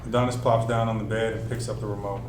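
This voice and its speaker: A man's voice: on the low side, level tone, fast talker. A man reading out stage directions as the narrator at a film script table read. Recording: distant, echoey room with noisy hum.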